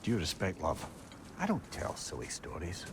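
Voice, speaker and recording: A witty old storyteller sage from a video game. He speaks low and wry, with fond humor. Clear background noise sits under him. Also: huge pitch swings, moderate pace, full character voice.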